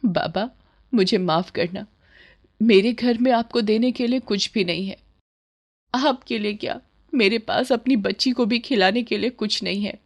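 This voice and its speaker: A woman's voice: mid-range voice, unhurried. A woman voicing a poor widowed young mother in a moral story, plaintive, earnest and humble. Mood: apologetic. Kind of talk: storybook dialogue in Hindi.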